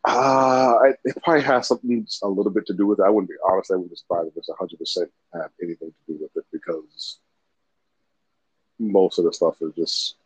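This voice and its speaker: A man with a very low, husky voice talks in a loose, rambling way and swears casually. The sound is dull and lo-fi. The room is completely dry. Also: steady tone, a little fast, dry recording. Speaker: podcast co-host. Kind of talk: casual chat.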